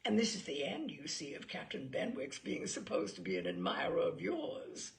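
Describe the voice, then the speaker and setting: A woman reading a classic novel aloud, voicing a self-pitying, gossipy young married gentlewoman with an animated, complaining manner. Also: low voice, moderate pace, creaky, voice pushed down, heavily acted. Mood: gossipy, smug.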